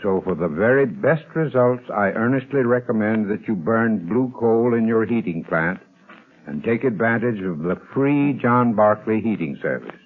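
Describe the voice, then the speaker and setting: A man's voice: on the low side, expressive, normal speed. Earnest man reading a radio ad. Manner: earnest, persuasive. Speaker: sponsor's spokesman. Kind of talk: scripted radio ad read. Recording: tinny old radio recording.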